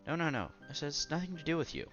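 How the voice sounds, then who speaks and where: Guy doing visual novel lets play, bright voice, stumbly reading w asides, soft music behind. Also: on the high side, big pitch swings, fast, dull audio. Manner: stumbles over words while reading, self-correcting. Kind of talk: casual let's-play read-aloud with asides.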